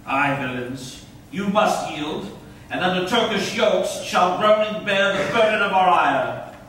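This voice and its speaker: An imperious, proud conqueror issues commands in Elizabethan stage verse, acted by a man. The delivery is high, animated and declamatory. It sounds distant, in a strongly echoing theatre. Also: measured pace, heavily acted. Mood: stern, triumphant. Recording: echoey stage hall from far off, faint noise.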